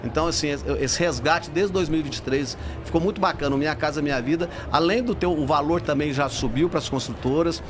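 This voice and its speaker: A man's voice: on the high side, a little fast, hardly any pauses. A middle-aged Brazilian man speaking enthusiastically and explaining things in a conversational interview. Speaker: public bank housing executive, podcast guest. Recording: busy trade fair background noise.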